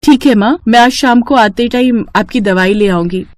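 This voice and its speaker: A woman's voice: on the high side, moderate pace, darkish tone, no room sound, voice pushed up, lightly acted. A woman voicing a poor, hardworking young woman in a cartoon story, speaking earnestly and respectfully. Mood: pleading, dutiful. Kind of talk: acted dialogue in a Hindi cartoon story.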